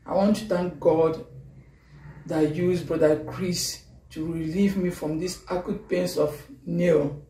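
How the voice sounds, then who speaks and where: A woman with a Nigerian accent giving an excited, grateful testimony at home, filmed on a phone from a few steps away. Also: on the low side, slow, a little hiss or hum. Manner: excited and grateful, moving around while talking. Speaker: testimony giver. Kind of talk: video testimony. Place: bedroom at home. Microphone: phone camera from a few steps away. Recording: echoey room, distant phone mic.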